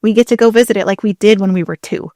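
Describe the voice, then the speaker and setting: Female podcast co-host, mid-pitched, chatty explanatory delivery, recorded close and dry. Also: fast, dark tone, dry recording. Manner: conversational, explanatory. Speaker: historian. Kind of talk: casual podcast discussion.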